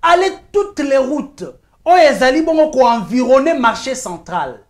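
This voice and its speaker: A woman's voice: sits high, animated, unhurried. Insistent Congolese woman arguing. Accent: Congolese French. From Kinshasa, DR Congo. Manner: insistent, argues point by point, mixes in Lingala phrases. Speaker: journalist guest. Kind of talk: heated talk-show debate.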